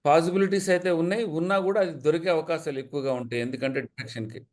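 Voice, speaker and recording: A man's voice: a little high, expressive, racing. A man with a Telugu accent explaining as an expert, mixing in English technical terms.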